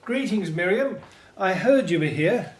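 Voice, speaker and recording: A man's voice: high-pitched, animated, measured pace, background noise. A man playing a hopeful Hebrew slave and family man in a scripted radio drama, telling things earnestly. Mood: eager, serious.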